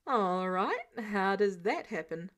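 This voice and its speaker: A woman's voice: medium pitch, big pitch swings, slow pace, fairly bright tone. A woman voicing a wry, protective grown man, a superhero mentor with a casual, sardonic manner, in a story reading. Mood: careful, casual. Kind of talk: character dialogue in fan fiction reading.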